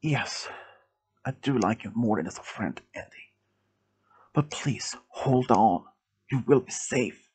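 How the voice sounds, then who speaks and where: A man's voice: sits high, normal speed, husky, voice pushed up. A man voicing a caring, desperate young man who pleads urgently to protect a wounded friend in a story. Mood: tender confession.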